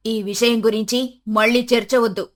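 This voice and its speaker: A woman's voice: fairly high pitch, fairly even intonation, moderate pace, lightly acted. A woman voicing a stern, old-fashioned older mother-in-law in a family story, bossy and emphatic. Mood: scolding, stern.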